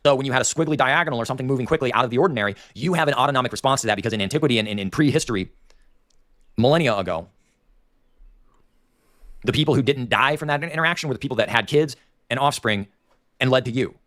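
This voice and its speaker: A man's voice: medium pitch, fast talker, pauses often, fairly bright tone, no room sound, studio quality. A man with a nasal voice and a Dayton, Ohio Appalachian accent, hosting a livestream and ranting in opinionated, digressive commentary. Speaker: livestream host.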